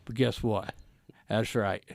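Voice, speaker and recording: A man's voice: lowish voice, fairly even intonation, slow, gravelly, a little mellow, no echo, studio quality. A middle-aged American man hosting a casual interview, folksy and colloquial, putting probing questions to his guest. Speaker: guest host, former law enforcement officer.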